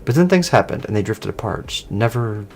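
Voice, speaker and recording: A man voicing an earnest informant in online horror fiction, recounting old rumors in a measured way. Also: fairly low pitch, very animated, creaky, darkish tone, slight background noise.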